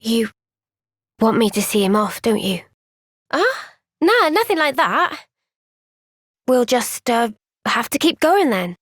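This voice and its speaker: Earnest young soldier, high, unhurried voice. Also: female voice, big pitch swings, a bit bright, dry recording, clean studio sound, exaggerated voice. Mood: thoughtful. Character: earnest, thoughtful young woman, a soldier in fantasy game. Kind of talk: video game cutscene voice acting.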